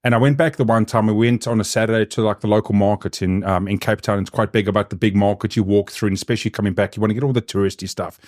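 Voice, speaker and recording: A man from South Africa with a softened South African accent, hosting a podcast and telling a story in a frank, rambling, profane way. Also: lowish voice, fairly even intonation, rapid-fire, few pauses, no room sound, studio quality. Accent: South African, softened by living in New Zealand. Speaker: podcast host. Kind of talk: casual conversational storytelling.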